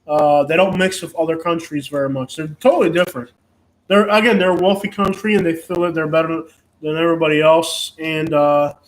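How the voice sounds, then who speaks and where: A man from Cuba, hosting a casual live chat and rambling with frequent restarts and fillers. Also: sits high. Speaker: host.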